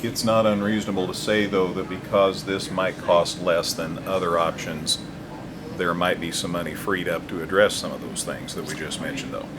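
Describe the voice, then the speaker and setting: A man's voice: low-pitched, fairly even intonation, moderate pace, background noise. A man working as a radio interviewer, putting points and questions in a conversational way.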